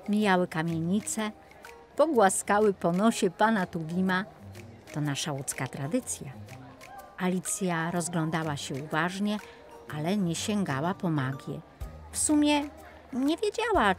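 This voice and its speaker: A woman's voice: on the low side, lively intonation, unhurried, soft background music. A woman narrating a children's story expressively as the storyteller.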